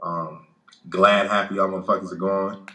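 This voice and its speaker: A man's voice: low-pitched, little pitch movement, normal speed, sharp tone, reverberant. A man in his late twenties, a guest in a casual chat, blunt and profane, stumbling through restarts. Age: 20s.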